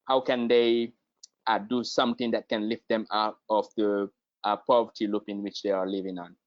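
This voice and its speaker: A man from Guinea, a guest speaking in a halting way and often repeating words as he searches for them. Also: on the low side, level tone, dry recording. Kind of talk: conversational interview. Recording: dry, slightly dull lo-fi sound.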